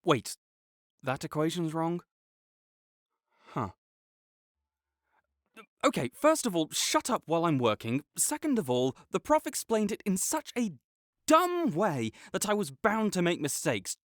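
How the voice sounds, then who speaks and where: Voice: mid-range voice, wildly swooping pitch, measured pace, long pauses, no echo, clean studio sound. A young adult performer voicing a sarcastic, condescending academic rival with a slight tsundere streak, teasing throughout. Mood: defensive teasing. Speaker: audio roleplay performer. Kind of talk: scripted audio roleplay acting.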